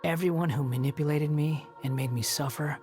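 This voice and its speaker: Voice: medium pitch, brisk, a little mellow, faint music behind, close to an everyday voice. An actor voicing a proud, brooding young wanderer in a video game, dry, curt and aloof in delivery. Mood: grave. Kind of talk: video game cutscene acting.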